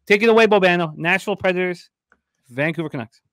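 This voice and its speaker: A man's voice: high-pitched, fast talker, a bit bright, dry recording. A middle-aged man hosting casual sports betting talk, speaking emphatically in short phrases. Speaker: sports show host. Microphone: large studio mic close to mouth.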